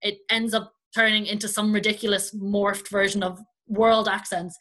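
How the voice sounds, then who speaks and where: A woman's voice: medium pitch, little pitch movement, normal speed, fairly bright tone. An Irish woman, a children's performer hosting a podcast, chatting casually. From Ireland.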